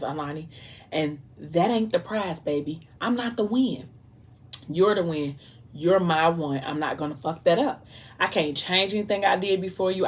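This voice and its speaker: Devoted husband, played by a woman storyteller: low, hushed, earnest, calm and firm, romance drama. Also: natural voice.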